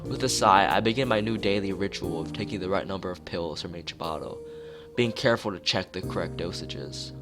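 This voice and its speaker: A man's voice: deep voice, fairly quick. A man streaming a visual novel read-aloud, narrating with casual asides and sometimes stumbling over his words. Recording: music behind the voice.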